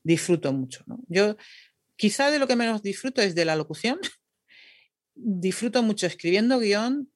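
A woman's voice: medium pitch, expressive, unhurried, no echo, clean studio sound. A woman, a radio announcer and podcaster, chatting casually as a guest and laughing at herself. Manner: chatty, self-deprecating, laughing. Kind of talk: casual interview chat.

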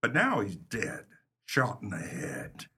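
A man playing a gruff, brisk 1930s police captain in a pulp crime radio play, with a husky voice. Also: fairly low pitch, wildly swooping pitch, pushed and bright, full character voice. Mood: brisk, probing.

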